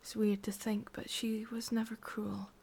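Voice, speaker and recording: Breathy whispering English witch voiced by a woman. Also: medium pitch, little pitch movement, a little mellow, full character voice. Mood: wistful, tender. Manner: slow, intimate, musing, with lingering pauses. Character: possessive, wistful, ancient female witch in love. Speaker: ASMR roleplay performer. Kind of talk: whispered ASMR roleplay monologue.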